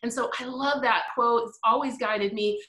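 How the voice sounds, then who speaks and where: A middle-aged woman talks in an animated, reflective way, breaking into laughter now and then. Her voice is bright and forceful. The room around her rings with echo. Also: a little high, moderate pace. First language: English. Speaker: guest. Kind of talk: interview conversation.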